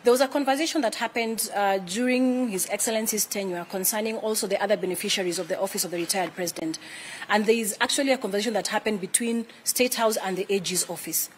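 A woman's voice: medium pitch, fast talker, slight background noise. A woman in her thirties with a Kenyan accent, answering press questions as a spokesperson in a formal, explanatory way. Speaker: spokesperson for a retired president's office. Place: indoor room with flags, press briefing.

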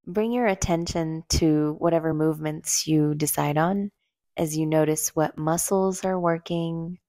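A woman leading a guided meditation in a gentle, soothing, unhurried way, leaving long pauses between short phrases. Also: deep voice, steady tone, no echo, clean studio sound. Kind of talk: slow guided meditation narration.

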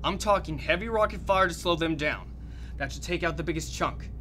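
A man acts out a cocky, bullish army commander in a radio play. His voice is high and bright, barking with brash authority over faint noise. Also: brisk. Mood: brash confidence. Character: overconfident, bullish male American military commander. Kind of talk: audio drama acting.

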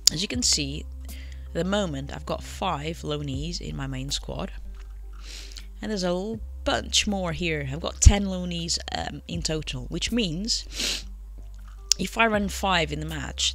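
A man with a cold talks his way through a football management game in a chatty, rambling way, full of fillers and quick self-corrections. His voice is bright and gravelly, a little high for a man. Faint music plays underneath. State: has a cold. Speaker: game channel host. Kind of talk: casual gameplay commentary. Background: faint background music.

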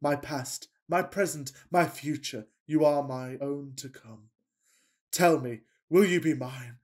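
Man playing a pompous lovestruck Victorian gentleman. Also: on the high side, takes it slowly, slightly rough. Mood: ardent. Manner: florid, grandiose, earnest declaiming. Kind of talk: farcical period radio play acting.

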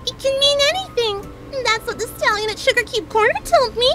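A woman voicing a bubbly, naive young female cartoon pony with a squeaky, giggly, excitable delivery. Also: a little fast. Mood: cheerful. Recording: loud music behind the voice.

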